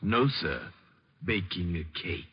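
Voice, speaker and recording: An actor playing an unruffled, formal butler in an old-time radio mystery, deadpan and obsequious. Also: male voice, low voice, takes it slowly. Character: unruffled, eerily polite male butler. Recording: muffled old radio recording, faint noise.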